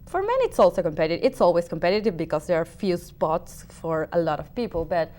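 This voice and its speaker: A woman's voice: lowish voice, expressive, quick pace, warm tone. A woman with a Uruguayan Spanish accent, a native Spanish speaker, answering interview questions with many restarts and fillers. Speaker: opera singer, guest.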